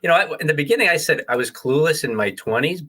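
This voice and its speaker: A man's voice: a little high, animated, fast talker, fairly bright tone. A male co-host recalling a book he read, speaking with enthusiasm in casual chat.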